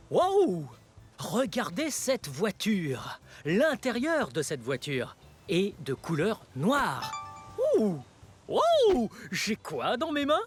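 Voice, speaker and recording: Excitable children's show host, hugely animated intonation, very unhurried pace, playful, exclamatory, simple teaching. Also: mid-range voice, exaggerated voice. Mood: amazed, playful. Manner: exaggerated wonder, simple explanations, frequent giggles and gasps. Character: excitable, wide-eyed, cheerful children's show presenter, playful adult. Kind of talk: exclamatory educational presenting for young children. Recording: music behind the voice.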